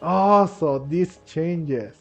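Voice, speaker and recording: A man with a fairly high voice comments casually on a video game. He rambles and halts, thinking aloud as he plays, with game music faintly behind him. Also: drawn-out words, poor audio. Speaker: gaming commentator. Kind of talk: casual let's-play commentary.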